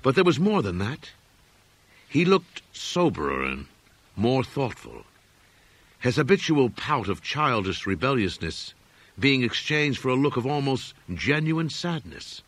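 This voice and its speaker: A man reading a gothic horror story aloud as an audiobook narrator, in a measured, formal, expressive manner. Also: mid-range voice, no room sound.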